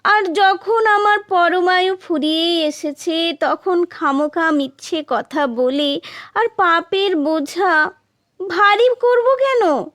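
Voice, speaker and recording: A woman voicing a wily male courtier who feigns humble piety, coaxing and flattering in an audio folktale. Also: extremely high pitch, slow, no echo, clean studio sound, exaggerated voice. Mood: sly, coaxing.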